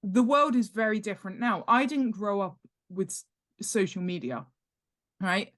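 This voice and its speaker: A woman's voice: medium pitch, lively intonation, normal speed, a bit bright. A middle-aged British woman talking conversationally as an expert podcast guest, stressing points emphatically by repeating words. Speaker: guest, author and world-building expert. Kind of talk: conversational explanation in a podcast interview.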